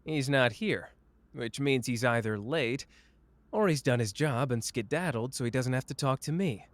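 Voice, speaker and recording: A man's voice: mid-range voice, lively intonation, moderate pace, no echo, natural voice. A man playing a cocky, flamboyant young sorcerer who teases the listener in a playful, smug roleplay audio drama. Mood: breezy, teasing. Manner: playful, smug, drawling teasing.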